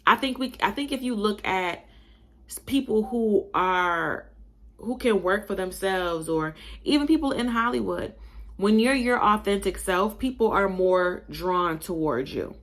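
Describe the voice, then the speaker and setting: A young adult woman from Buffalo, New York, with a slightly Southern-tinged accent, hosting a casual opinion monologue in an animated, emphatic, chatty way and calling her listeners y'all. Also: medium pitch, a little slow. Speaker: podcast host.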